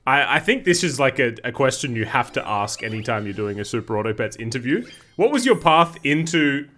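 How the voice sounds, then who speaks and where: A man's voice: medium pitch, sing-song, a little fast, sharp tone. A man hosting a gaming video who talks upbeat, laughs often and then interviews a guest. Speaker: host.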